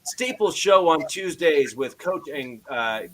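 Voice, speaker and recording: A man hosting a casual sports podcast over a video call, loud-voiced and loose, filling his sentences with "uh". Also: a little high, lively intonation, slow, slight background noise. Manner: loose, filler-heavy, frequent "uh". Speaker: podcast host.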